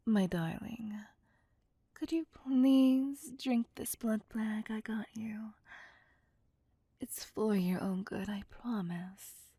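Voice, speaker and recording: A woman voicing a possessive, vain vampire mistress in an ASMR roleplay, breathy and often whispering, with condescending endearments. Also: on the high side, warm tone, no echo, heavily acted. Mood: coaxing. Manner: slow, deliberate, condescending endearments. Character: possessive, vain female vampire, commanding and seductive. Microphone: close, dry microphone.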